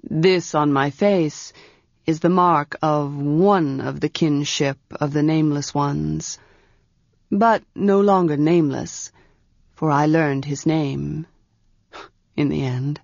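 A woman voicing a scarred dragon lord held captive, a calm, grave man who speaks gently and with measured reluctance in a storybook reading. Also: sits low, gravelly, dry recording, muffled recording, pitched below the performer's own voice, heavily acted.

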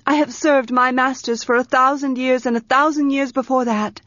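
A woman's voice: sits high, fairly even intonation, normal speed, fairly bright tone, no room sound, highs cut off, voice pushed up. A woman voicing a proud young priestess in a storybook, scornful and insistent, with an imperious, defensive manner. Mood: imperious, threatening. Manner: haughty, scoffing, insistent.

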